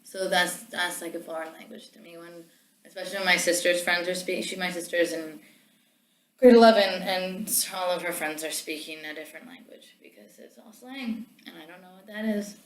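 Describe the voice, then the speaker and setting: A woman's voice: on the low side, steady tone, moderate pace. Chatty young Canadian woman. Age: young adult. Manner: chatty, laughs often. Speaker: student. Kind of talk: casual conversation. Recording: roomy room sound.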